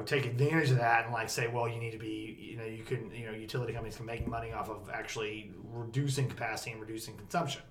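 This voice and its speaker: A man hosting a podcast about energy policy, talking casually with a loud, projecting voice and plenty of emphasis. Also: mid-range voice. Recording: roomy echo, faint background noise.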